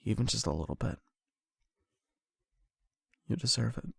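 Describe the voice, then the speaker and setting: Guy doing a nervous lovestruck confession roleplay, croaky voice, very dry close recording, hesitant and unsure of himself. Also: lowish voice, expressive, a bit bright, no echo. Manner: hesitant, halting, self-doubting, conversational. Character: nervous lovestruck young man confessing to online friend. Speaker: audio roleplay performer. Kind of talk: emotional confession roleplay, ASMR-style monologue.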